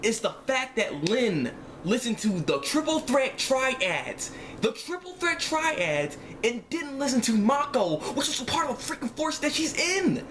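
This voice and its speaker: Guy with a pretty high voice doing an off the cuff show review, slangy and worked up, repeats himself, bit of background noise. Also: very animated, unhurried, hardly any pauses, fairly bright tone. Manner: exasperated, rambling, emphatic repetition; informal slangy speech. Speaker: online reviewer. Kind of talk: casual animated series episode review.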